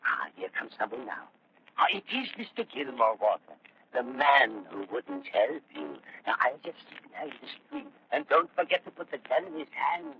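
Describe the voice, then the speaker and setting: A man's voice: medium pitch, sing-song, normal speed. A man acting in a 1940s radio drama as a scheming villain who persuasively coaxes a blind youth toward revenge. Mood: sly, goading. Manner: insinuating, persuasive. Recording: muffled noisy old-time radio recording.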